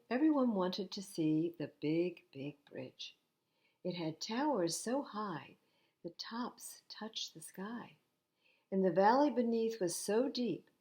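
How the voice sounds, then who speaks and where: An older woman reading children's stories aloud at storytime, expressive and unhurried, with little asides to young listeners. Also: medium pitch, lo-fi sound. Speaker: library storytime reader.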